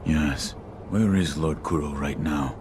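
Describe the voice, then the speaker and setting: A curt, deep-voiced shinobi. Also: male voice, slow, noisy background. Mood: stoic. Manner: curt, flat, few words. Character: stoic male shinobi warrior, curt and grim.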